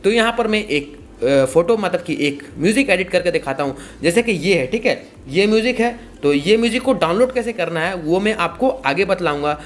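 A man's voice: high voice, lively intonation, moderate pace. A young man giving a casual, repetitive step-by-step tutorial. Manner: repetitive, explanatory. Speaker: tutorial host.

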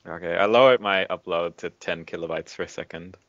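A man's voice: low-pitched, fairly quick, a bit bright, dry recording, lo-fi sound. A man chatting casually over an internet voice call. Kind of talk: casual chat. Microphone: voice call over Skype.

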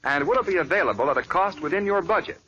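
A male 1940s radio announcer selling with a smooth, persuasive pitch. His voice sits a bit high and swings lively, bright through a dull old radio recording. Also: fast, a little hiss or hum.

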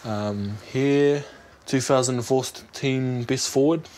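A man's voice: medium pitch, takes it slowly, darkish tone. A young man with a New Zealand accent speaking casually and earnestly, with some hesitancy, as an aspiring rugby player in an interview. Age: young adult. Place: small bedroom. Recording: faint background noise.

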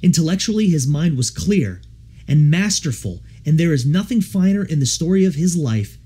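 A man reading a devotional audiobook in an earnest, measured, formal way. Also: fairly high pitch, big pitch swings, dark tone, breathy edge. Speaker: audiobook reader. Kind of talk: scripted audiobook narration of a devotional text.

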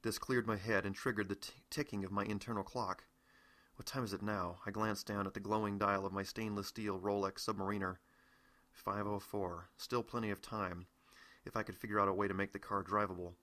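Bright, low-voiced American male narrator. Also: steady tone, fairly quick. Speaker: author reading his own novel. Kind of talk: scripted first-person audiobook narration.

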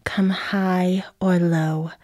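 A sinister witch, played by a woman, casting spells in a lowish voice. She is slow, deliberate and commanding, in classical radio-play style. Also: level tone, a little mellow. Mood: sinister, chanting. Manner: deliberate, incantatory. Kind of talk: Shakespearean radio drama acting.

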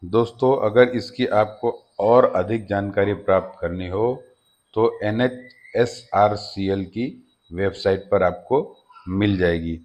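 A man hosting his own channel, giving a steady informational voice-over that often addresses viewers as friends. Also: fairly low pitch, slow pace. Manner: steady explanatory delivery with frequent "friends" address. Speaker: channel host. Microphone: voice-over over slides.

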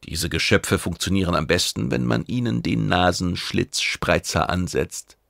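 A man reads as a cheeky oracle page who is secretly a spy in a science fiction story. He sounds calm, formal and probing, with a low, gravelly voice recorded very dry. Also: moderate pace, no echo, heavily acted. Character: shape-changing spy posing as eager, cheeky oracle page.

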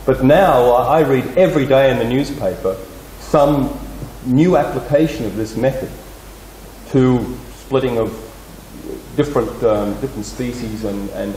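A middle-aged man hosting a lecture, introducing the speaker in a halting way as he searches for words. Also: medium pitch, mellow. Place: lecture hall, indoors. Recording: roomy hall with faint background noise.

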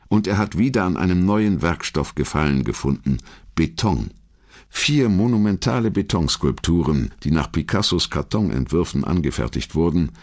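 A man reading a scripted documentary narration in a measured, formal storytelling manner. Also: very deep voice. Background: background music.

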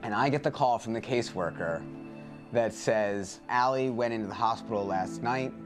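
A man's voice: medium pitch, normal speed, over music. A man talking conversationally in a documentary interview about personal experience. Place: dim room indoors.

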